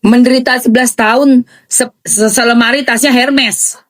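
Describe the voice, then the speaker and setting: Woman gossip commentator, eastern Indonesian accent, sarcastic, emphatic, slightly high voice. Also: steady tone, moderate pace. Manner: sarcastic, emphatic, repeats phrases for mockery.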